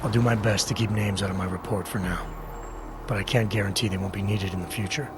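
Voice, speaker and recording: An actor playing a stern, composed agent in a video game, questioning a suspect in a controlled, probing way. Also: male voice, fairly low pitch, steady tone, fast, loud music behind the voice, natural voice. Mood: measured warning. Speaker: investigator. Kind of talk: video game dialogue acting.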